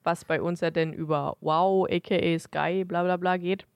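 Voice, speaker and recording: A woman's voice: fairly low pitch, steady tone, moderate pace, smooth, no room sound. A woman co-hosting a podcast, chatting casually in a blunt, emphatic way. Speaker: podcast co-host. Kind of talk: casual chat.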